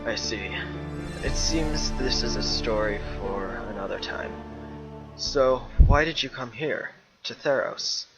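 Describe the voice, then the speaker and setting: A man playing a calm, loyal lion-man warrior, speaking in measured, formal phrases in a fan-made fantasy drama. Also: medium pitch, music in the background. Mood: calm, inquiring. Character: calm, loyal male leonin planeswalker, steadfast friend. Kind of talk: dramatic dialogue in a fan-made motion comic.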